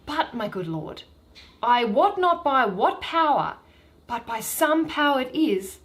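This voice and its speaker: A woman's voice: high-pitched, sing-song, slow pace, a bit bright, full character voice. A woman reading Shakespeare, voicing an ardent, earnest young Athenian man in love, in theatrical verse.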